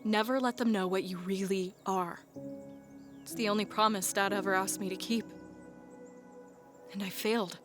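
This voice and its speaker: A woman's voice: mid-range voice, steady tone, moderate pace, pauses often, close to an everyday voice. A woman voicing a guarded young half-genie woman in an audio drama, with dry, sarcastic banter. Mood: troubled. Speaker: voice actor. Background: faint background music.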